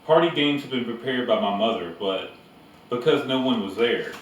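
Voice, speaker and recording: Very reverberant room, faint background noise, male storybook narrator, deliberate phrasing, pauses. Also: mid-range voice, lively intonation. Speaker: narrator reading a novel aloud. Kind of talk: storybook read-aloud narration. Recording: echoey room, distant mic, background noise.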